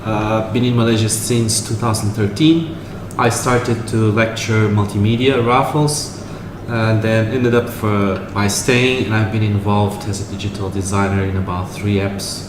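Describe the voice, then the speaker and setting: A man in his forties speaking as a panelist at a round-table discussion, talking in a measured way with frequent hesitations. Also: on the low side, fairly even intonation. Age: 40s. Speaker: panelist, digital designer. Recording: echoey room with background noise.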